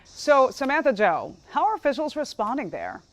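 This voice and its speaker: A woman's voice: high-pitched, wildly swooping pitch, normal speed. An American woman working as a news anchor, presenting a live television broadcast.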